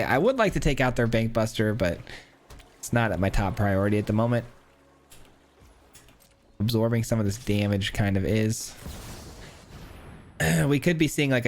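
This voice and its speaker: A man with a hoarse, cracking voice gives casual commentary on his own card game, counting aloud and thinking through his plays. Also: mid-range voice. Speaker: gameplay streamer. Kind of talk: casual live gameplay commentary.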